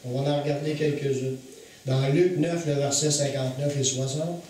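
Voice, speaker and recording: A man with a Québécois accent preaching a sermon to a congregation, earnest, slowing to stress key phrases. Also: medium pitch, steady tone, warm tone, very echoey, recorded from a distance, slight background noise, poor audio. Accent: Québécois French. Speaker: pastor. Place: church hall with congregation.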